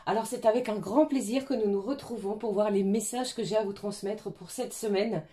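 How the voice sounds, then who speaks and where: A French woman reads oracle cards in a soft, hesitant way, her voice hoarse from a cold. Her tone is mellow and dark, and her pitch sits in the middle. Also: a little fast. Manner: gentle, many hesitations. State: has a cold, hoarse and coughing. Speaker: tarot and oracle card reader. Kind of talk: weekly card reading guidance.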